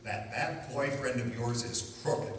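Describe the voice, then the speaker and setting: Man as gruff dogged cop, radio drama, accusatory bluster, medium pitch, distant in a reverberant hall. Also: fairly even intonation, unhurried, close to an everyday voice. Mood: accusing. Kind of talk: old-time radio drama acting. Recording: echoey hall, distant mic, faint noise.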